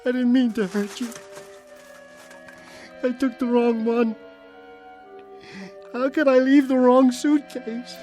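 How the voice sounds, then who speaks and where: A man's voice: extremely high pitch, steady tone, slow, pauses often, darkish tone, faint music behind, poor audio. An actor playing a male ventriloquist terrified of his own dummy, frantic and pleading, in a radio drama. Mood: frantic, angry. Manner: frantic, pleading, muttering to himself.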